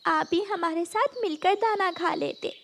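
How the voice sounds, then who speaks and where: A woman's voice: extremely high pitch, a little slow, fairly bright tone, a little hiss or hum, voice pushed up, heavily acted. A woman voicing a caring mother bird, a concerned wife and mama in a cartoon. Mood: hurt, concerned.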